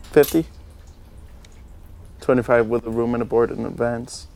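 A man's voice: mid-range voice, steady tone, moderate pace, a little mellow, lightly acted. An actor playing a curt, hard-bargaining gunman in dialogue from a Western film soundtrack. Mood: curt, haggling. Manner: curt, haggling. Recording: noisy film soundtrack with ambience.

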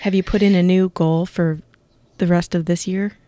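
A woman's voice: lowish voice, steady tone, moderate pace, dark tone, no room sound. A woman interviewing a guest in a casual, encouraging way, often adding small listening sounds.

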